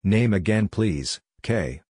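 A man reading short scripted practice phrases as a narrator, in a deliberate, clipped, phrase-by-phrase way. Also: lowish voice, lively intonation, a little mellow, dry recording, studio quality.

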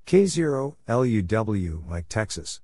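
Male announcer for scripted call-sign drills, fairly low pitch, very unhurried, clipped phrasing, faint noise behind. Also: very animated, a little mellow. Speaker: Morse code practice announcer. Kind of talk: scripted read-out of radio call signs and exchanges, spelled letter by letter.

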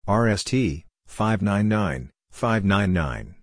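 Male narrator for a phrase drill: deep, slow, clipped and measured delivery. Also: lively intonation, no echo, clean studio sound. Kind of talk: scripted read-out of short radio phrases.

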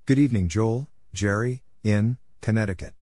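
A man reading out short scripted phrases as a practice narrator, deliberately spelling things letter by letter. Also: on the low side, a little mellow, dry recording, clean studio sound. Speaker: Morse code practice narrator.

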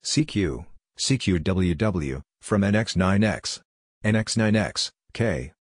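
A man with a low, slightly husky voice narrates a practice drill. He reads short scripted phrases deliberately, giving letters and call signs one at a time. The sound is dry and close, with very little room to it. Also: animated, no room sound.

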